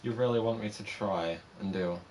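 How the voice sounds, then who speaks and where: A man streaming a game, chatting casually and rambling, his voice slightly raspy. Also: lowish voice, moderate pace, darkish tone, slight background noise. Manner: rambling, self-interrupting, laughs often. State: voice going raspy. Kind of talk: casual gaming chat. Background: background music.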